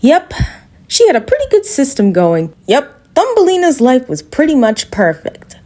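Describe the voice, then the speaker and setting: Playful, lively woman narrating a fairy tale. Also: high-pitched, moderate pace. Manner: chatty, playful asides. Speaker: storyteller. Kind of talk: casual storybook narration.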